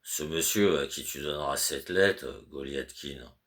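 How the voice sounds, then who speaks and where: A man's voice: extremely low pitch, measured pace, a bit bright. A man reading aloud and voicing a fussy, anxious middle-aged clerk who stammers and over-explains his orders. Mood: flustered, insistent. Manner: flustered, repeats fillers, fussy over-explaining. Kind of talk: audiobook character reading.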